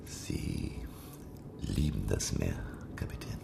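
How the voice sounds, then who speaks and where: A courteous old-world scholar in a radio drama, speaks in an extremely deep, gravel-laden voice. His delivery is deliberate and literary, slipping toward breathiness. Noticeable ambient noise lies behind him. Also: expressive, heavily acted. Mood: curious, hushed. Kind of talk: radio drama dialogue and narration. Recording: clear background ambient noise.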